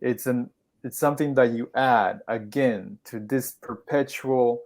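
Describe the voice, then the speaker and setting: Guy from peru on a podcast, takes his time, lots of pauses and restarts. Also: medium pitch, darkish tone. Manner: measured, explanatory, with pauses and restarts. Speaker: podcast guest. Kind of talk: conversational podcast talk.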